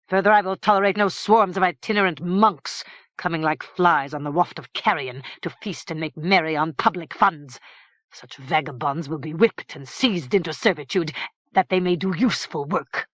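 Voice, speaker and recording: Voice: mid-range voice, normal speed, fairly bright tone, dry recording, lightly acted. An audiobook narrator voicing a harsh, menacing middle-aged king who speaks in cold, curt threats.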